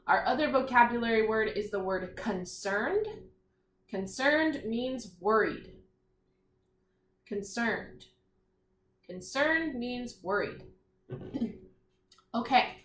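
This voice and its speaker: A woman teacher giving a read-aloud vocabulary lesson to young children, enunciating deliberately, repeating phrases and putting questions to her listeners. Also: a little high, lively intonation, fairly bright tone. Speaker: primary school teacher. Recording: echoey room.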